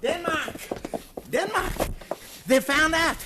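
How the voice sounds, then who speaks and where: A man's voice: very high-pitched, slow. A man playing a terrified, frantic messenger, panicky and breathless, in 1950s radio drama style. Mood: panicked. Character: terrified, frantic informant bringing bad news. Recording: noisy 1950s radio broadcast with clicks.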